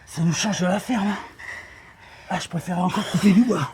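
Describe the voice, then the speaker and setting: A man's voice: high-pitched, normal speed, noisy background, natural voice. A man playing an eager, chatty young farm lad in the resistance, in an audio drama.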